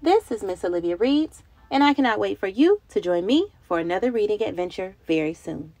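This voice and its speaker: A young adult woman narrating a picture book for small children in an expressive, dramatic way with emphatic, drawn-out words. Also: medium pitch, dry recording. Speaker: children's read-aloud storyteller. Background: music bed.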